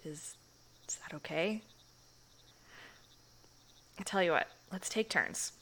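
A woman's voice: lowish voice, takes it slowly, full character voice. A young woman playing a shy, giggly church girlfriend. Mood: shy, nervous. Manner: soft, hushed, giggly and hesitant. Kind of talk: intimate whispered audio roleplay.

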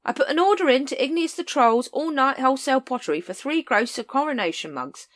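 A woman's voice: high-pitched, lively intonation, a little fast, pitched above the performer's own voice. A woman voicing a whining, wheedling street sausage vendor with pushy salesman patter.

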